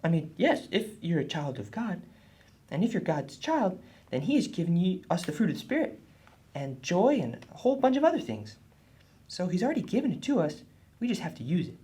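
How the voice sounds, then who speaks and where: A woman voicing an earnest, husky boy puppet who explains things helpfully in a children's puppet show. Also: on the low side, big pitch swings, fairly quick, warm tone.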